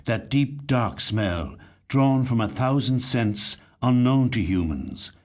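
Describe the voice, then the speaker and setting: A man reading a literary short story in a measured, reflective way. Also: medium pitch, animated, a little mellow. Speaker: actor reading short stories. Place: recording studio.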